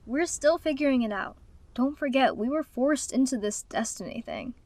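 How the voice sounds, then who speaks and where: A woman voicing a sardonic, guarded young dragon with a dry, sarcastic delivery for an animated fan dub. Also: high voice, normal speed, no echo.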